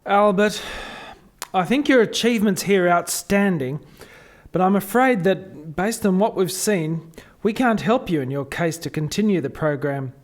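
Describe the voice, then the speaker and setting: A formal alien assessor, played by a man in a radio drama. The voice is high for a man, and the delivery is diplomatic, polite and firm. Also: moderate pace, natural voice. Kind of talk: radio play acting.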